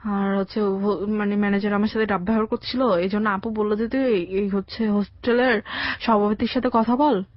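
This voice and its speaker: A young woman with a Bangladeshi accent giving a halting, colloquial personal account as a radio interview guest. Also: medium pitch, steady tone, dry recording, lo-fi sound. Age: young adult. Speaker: interview guest telling her life story. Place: radio studio.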